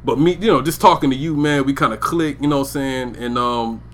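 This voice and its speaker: A man's voice: medium pitch, a little fast, fairly bright tone. Laid-back man rambling on a podcast. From Georgia, USA. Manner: laid-back slang, keeps saying "you know what I'm saying". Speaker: podcast co-host. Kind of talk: casual chat.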